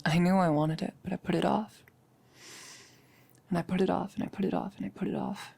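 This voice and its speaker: A woman playing a nervous, chatty girlfriend in an intimate ASMR roleplay, rambling and confiding with hesitant pauses. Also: on the low side, fairly even intonation, creaky, darkish tone, breathy edge, heavily acted. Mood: vulnerable, reflective. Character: nervous, chatty girlfriend about to become a vampire. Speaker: audio roleplay performer.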